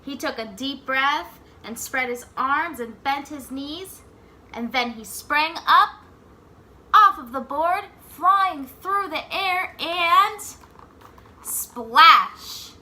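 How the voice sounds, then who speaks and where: A woman reading a picture book aloud like a teacher, expressively, pausing to put simple questions to young children. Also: high-pitched, lively intonation, bright, forceful tone. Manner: pauses to ask simple questions and echo the answers.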